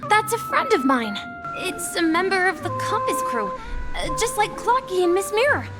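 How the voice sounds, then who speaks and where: A woman's voice: very high-pitched, sing-song, normal speed. A woman voicing an earnest young boy who dreams of adventure at sea, in a video game. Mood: eager. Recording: game music under the voice.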